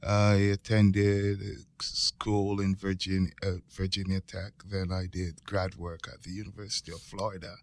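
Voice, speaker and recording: A man's voice: low-pitched, flat delivery, moderate pace, hardly any pauses. A man in his forties with a Jamaican accent, explaining conversationally as an expert guest and often checking in with "right?". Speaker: guest expert, geology professor. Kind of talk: conversational explaining in a podcast interview.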